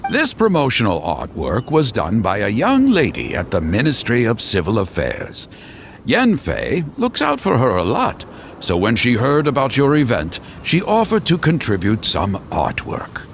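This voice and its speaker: A genial, humble storyteller from a video game, with a creaky edge to the voice and very animated, sweeping intonation. The speech is eloquent and courteous, with faint noise behind it. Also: male voice, medium pitch, a little slow.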